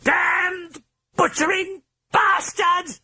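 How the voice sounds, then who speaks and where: A man voicing a hoarse, foul-mouthed possessing spirit with a British accent, in a very high, raving voice. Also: flat delivery, drawn-out words, sharp tone, no echo, exaggerated voice. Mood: raging. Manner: raving, then mock-cheerful and theatrical.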